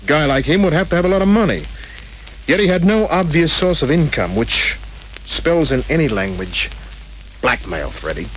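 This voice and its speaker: A man's voice: fairly high pitch, big pitch swings, moderate pace, low-quality recording. A man playing a cool, shrewd private detective in an old-time radio drama, with a wry, terse tough-guy delivery. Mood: confident, needling. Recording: old radio recording with faint hiss.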